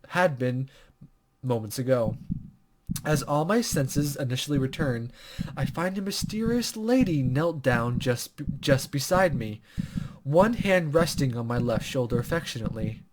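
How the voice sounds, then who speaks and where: A man doing let's play commentary, reading visual novel text aloud and stumbling over unfamiliar words. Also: mid-range voice. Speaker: gaming video host.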